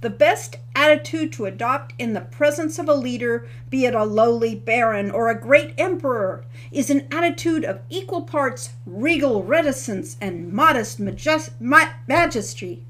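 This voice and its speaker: A woman reading as an eager teenage boy hero, earnest and formal, speaking a little high and pressing hard on the lines of his hero manual. The voice stays near her own, with a faint hum behind it. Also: lively intonation, moderate pace, few pauses, fairly bright tone.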